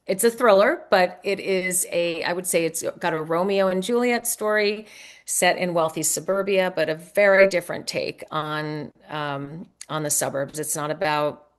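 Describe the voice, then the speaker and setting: Low-pitched woman chatting in an interview. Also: a bit bright. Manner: conversational, hesitant, thinking aloud. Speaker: author being interviewed. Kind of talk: casual interview chat.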